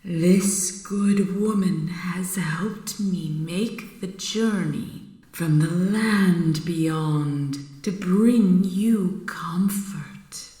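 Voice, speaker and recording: Slow, echoing ghostly mother. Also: lowish voice, warm tone. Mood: eerie, coaxing. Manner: slow, drawn-out, breathy séance delivery. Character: fake ghost of a dead mother, syrupy and theatrical. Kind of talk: comic audio drama in old radio manner. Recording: echoing, roomy séance sound.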